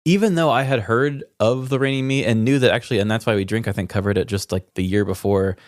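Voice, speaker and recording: A man's voice: medium pitch, quick pace, dry recording, studio quality. A man co-hosting a podcast in casual chat, informal and self-deprecating, swearing freely. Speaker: podcast co-host.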